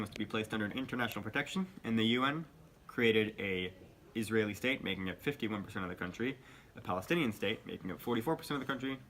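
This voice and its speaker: A man's voice: lowish voice, pushed and bright. A man playing a news co-anchor in a scripted mock newscast. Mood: formal, newsy. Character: flustered male news co-anchor on live mock broadcast. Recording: faint background noise.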